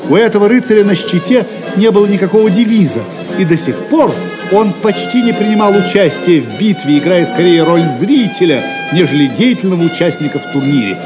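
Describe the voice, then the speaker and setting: A man's voice: sits high, expressive, a little fast, hardly any pauses. A man narrating an audio play as a dramatic, theatrical storyteller with declamatory delivery. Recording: music behind the voice.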